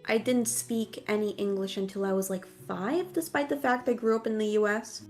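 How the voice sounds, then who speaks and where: A woman from the United States, a guest in a casual streamed chat, who talks in an animated, expressive and self-mocking way. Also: fairly high pitch, moderate pace, soft background music.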